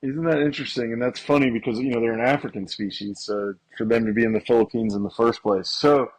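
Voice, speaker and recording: A man co-hosting a bird podcast, chatty and quick with questions for his guest. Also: mid-range voice, fairly even intonation, rough recording. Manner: chatty, asks questions, frequent restarts. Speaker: podcast co-host. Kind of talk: casual chat.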